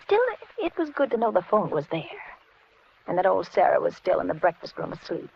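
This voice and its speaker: An actress playing an anxious, polite middle-aged housewife in a 1940s radio thriller, with a hesitant, genteel way of speaking. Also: female voice, on the low side, sing-song, a little fast, creaky. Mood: uneasy narrating. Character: anxious polite housewife and mother, increasingly frightened. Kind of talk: 1940s radio thriller acting with first-person narration. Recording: muffled, noisy vintage radio recording.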